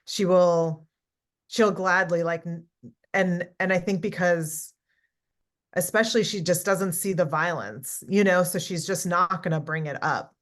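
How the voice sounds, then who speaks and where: A woman born in Israel who grew up speaking Hebrew first, reading her own memoir aloud and talking it over hesitantly. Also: lowish voice. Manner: halting, thoughtful, many restarts in talk. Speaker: author reading from her book. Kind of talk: casual conversation and book reading.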